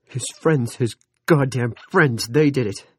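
A man's voice: mid-range voice, moderate pace, vocal fry, breathy edge, dry recording, studio quality, voice pushed up. A male narrator voicing a distraught big newspaperman who wails, sobs and curses. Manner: wailing, sobbing, cursing. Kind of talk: audiobook character reading.

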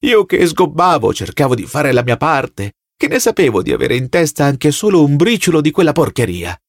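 Guy doing a whiny eager toady in an audiobook, jittery, pitch jumping up high, servile. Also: big pitch swings, no room sound, clean studio sound. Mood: solemn, self-pitying. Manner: whining, rapid-fire questions with tag words. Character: plump, agitated, servile party loyalist, plaintive and eager.

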